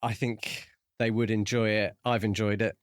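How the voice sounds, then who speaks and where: A man co-hosting a podcast in casual book discussion, talking in a measured way with pauses mid-sentence. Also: on the low side, flat delivery, smooth, dry recording, studio quality. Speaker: podcast co-host.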